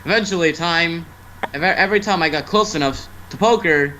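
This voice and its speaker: A man's voice: fairly high pitch, normal speed, pushed and bright. A man narrating fan fiction casually as an amateur storyteller, now and then stumbling over the script. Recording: noisy amateur online stream.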